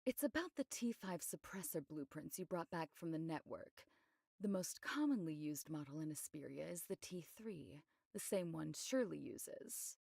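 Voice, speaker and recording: A composed, discreet woman investigator from an anime game. Her voice sits slightly low with a clear fry in it. She briefs in a measured, matter-of-fact way, recorded close and dry. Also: expressive, darkish tone, no room sound, exaggerated voice. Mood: serious, discreet. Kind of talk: scripted anime game voice acting.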